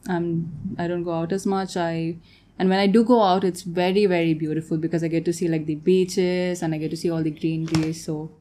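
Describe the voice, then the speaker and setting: A woman's voice: lowish voice, flat delivery, a little fast, dark tone. A young woman who grew up in Tanzania, a guest artist talking casually in an interview, often laughing and trailing off mid-sentence.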